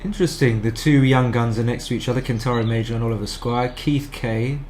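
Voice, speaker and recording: A man's voice: mid-range voice, fairly even intonation, brisk, darkish tone, a little hiss or hum. Chatty man thinking aloud on a stream. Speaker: backgammon commentator and streamer. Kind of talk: casual live match commentary.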